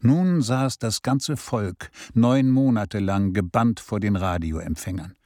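A deliberate radio reporter telling history for a radio play, lowish voice, very dry sound. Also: male voice, dark tone, dry recording. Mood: sober, measured. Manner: measured, deliberate. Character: sober older Israeli radio journalist recounting a trial. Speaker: radio narrator. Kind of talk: measured documentary radio play narration.

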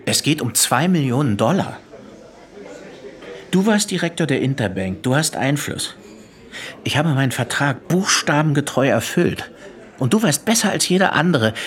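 A man playing a nervous fugitive banker in a radio drama, urgent and insistent, with a quiet threat underneath. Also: fairly high pitch, very animated, moderate pace. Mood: insistent, veiled threat. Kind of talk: German radio drama acting.

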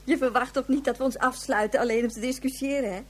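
An actress playing a blunt, chatty station leader in a radio drama, breezy and outspoken, with a husky voice. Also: female voice, high-pitched, fairly even intonation, fast talker. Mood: blunt, explaining.

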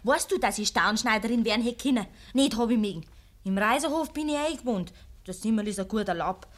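An actress playing a scheming, headstrong and impatient young peasant maid in a 1950s Bavarian dialect radio drama. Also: female voice, a little high, a little fast, lightly acted. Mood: defiant. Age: young adult. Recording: noisy vintage 1950s radio recording.

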